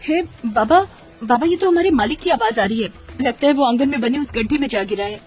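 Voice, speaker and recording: A woman's voice: on the high side, a little fast, darkish tone, faint music behind, voice pushed up, lightly acted. A woman voicing a young cow, a devoted daughter, speaking with earnest, emotional urgency in a cartoon story. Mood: alarmed. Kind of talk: Hindi cartoon story voice acting.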